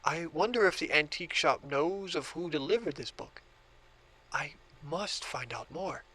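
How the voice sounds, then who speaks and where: An actor playing an obsessive book collector who grows entranced, in a horror audio drama. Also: male voice, a little high, expressive, measured pace, sharp tone, exaggerated voice, low-quality recording. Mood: intrigued, obsessive. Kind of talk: audio drama monologue and dialogue.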